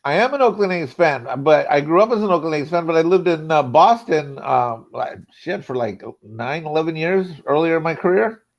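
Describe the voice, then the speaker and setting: Co-host guy from norcal, teasing jokey banter, higher voice, muffled lo-fi call audio. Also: lively intonation, normal speed, few pauses. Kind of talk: casual chat on a livestream.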